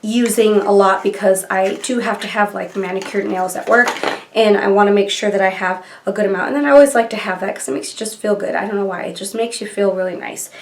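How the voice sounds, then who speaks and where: A woman's voice: mid-range voice, fairly even intonation, fast. A woman in her thirties with a stuffy nose from allergies, chatting casually and rambling as she reviews products in a small room at home. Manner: chatty and rambling, gushing over colors. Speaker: beauty vlogger. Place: small bedroom filming nook, indoors.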